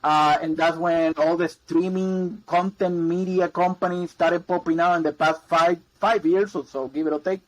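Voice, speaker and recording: A man's voice: fairly high pitch, steady tone, normal speed, few pauses, low-quality recording. Man, rambling guest. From Puerto Rico. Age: 40s. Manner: rambling, repeats words, many ums and uhs. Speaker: guest, mortgage broker. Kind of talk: casual interview chat.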